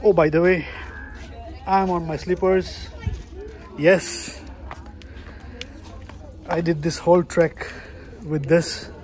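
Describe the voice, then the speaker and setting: Slow-talking enthusiastic Indian travel vlogger. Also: male voice, a little high, steady tone, a little mellow, slight background noise. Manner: chatty, enthusiastic, self-deprecating asides. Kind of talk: solo travel vlog narration. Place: outdoors on a hilltop fortress.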